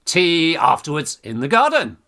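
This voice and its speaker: An actor playing a brash, scheming young English undergraduate in a theatrical Victorian farce, exclamatory and bossy, with jolly old-fashioned slang. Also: male voice, fairly high pitch, huge pitch swings, moderate pace, sharp tone, full character voice. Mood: excited, scheming. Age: young adult. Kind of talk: theatrical radio farce acting.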